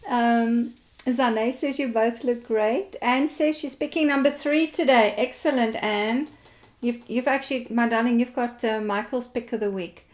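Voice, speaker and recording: A woman's voice: fairly high pitch, steady tone, unhurried. A South African woman hosting a live talk show in a chatty, effusive and encouraging way, interviewing her guest.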